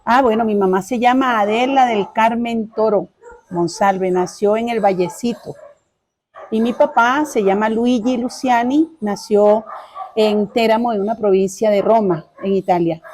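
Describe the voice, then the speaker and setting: Mellow-voiced woman with a Caracas accent. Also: mid-range voice, a little slow, slight background noise. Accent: Caracas Venezuelan. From Caracas, Venezuela. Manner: chatty, courteous, repeats phrases. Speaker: interview guest. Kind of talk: informal life-story telling.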